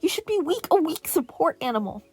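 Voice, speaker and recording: Woman voicing a shrill, raging male villain. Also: very animated, fairly quick. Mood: enraged, scornful. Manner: yelling and snarling. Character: snarling, petulant male villain, enraged and scornful.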